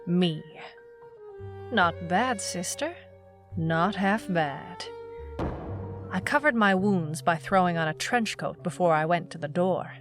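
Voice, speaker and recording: A woman's voice: on the low side, slow, long pauses, music in the background. A woman playing a wry, world-weary, tough private eye, narrating a hardboiled noir audio drama. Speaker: lead narrator.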